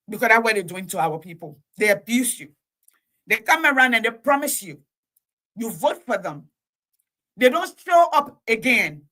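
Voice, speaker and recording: A woman host speaks in a fairly high, bright and forceful voice. She talks with passion, repeating phrases and stretching out key words for weight. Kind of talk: impassioned talk-show monologue.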